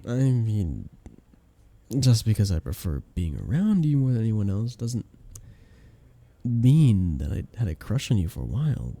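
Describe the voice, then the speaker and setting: A young man acting a flustered best friend with a hidden crush in an intimate ASMR romance roleplay, soft-spoken, casual and hesitant. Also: on the low side, very animated, measured pace, vocal fry, heavily acted. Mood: bashful, confessing.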